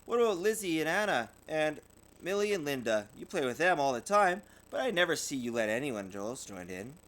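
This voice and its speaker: A man's voice: fairly high pitch, sing-song, brisk, fairly bright tone, voice pushed up. Man as a pointed brother bear cub. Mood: challenging. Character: challenging older brother bear cub, boy.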